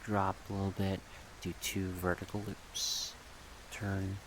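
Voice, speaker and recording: A man talking softly in a casual, halting ASMR ramble. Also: sits low. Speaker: ASMR creator rambling about a project. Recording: steady rain-like background noise.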